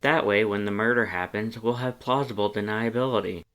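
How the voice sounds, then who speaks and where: A man's voice: fairly low pitch, steady tone, fairly quick, a bit bright, lightly acted. Man voicing a wry, laid-back skeleton. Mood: wry, teasing. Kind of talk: storybook character dialogue.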